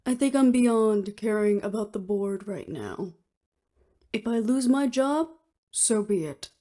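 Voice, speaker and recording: A woman's voice: medium pitch, animated, takes it slowly, warm tone. A woman acting in an audio roleplay as an earnest, weary official who speaks formally and seriously. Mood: weary, resolute.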